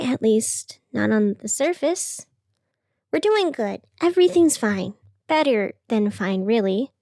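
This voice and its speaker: Woman playing a high-voiced wistful radio host. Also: a little mellow, no room sound, clean studio sound. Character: wistful, self-doubting young woman hosting an odd night radio show. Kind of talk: scripted audio drama radio monologue.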